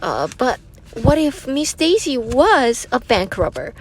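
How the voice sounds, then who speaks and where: A woman voicing an excitable, imaginative young schoolboy in an emphatic storybook read-aloud. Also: high-pitched, a little slow, slight background noise, pitched above the performer's own voice. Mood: curious, speculating. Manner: emphatic, exclamatory.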